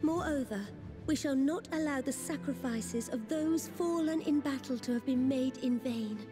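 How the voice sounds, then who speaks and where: A woman's voice: high voice, normal speed, close to an everyday voice. A woman playing a gracious, resolute young sovereign in a fantasy video game, speaking in a formal, courtly way. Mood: hopeful, resolute. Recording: long reverb, game music underneath.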